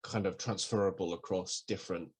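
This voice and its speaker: A man who grew up in Wales and England, co-hosting a podcast with a low-pitched voice. He talks things through analytically, sometimes stumbling over a word as he reasons aloud. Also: fairly even intonation, fairly quick, slightly rough. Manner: thoughtful, analytical, some stammering restarts. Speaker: podcast co-host. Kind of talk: casual conversation.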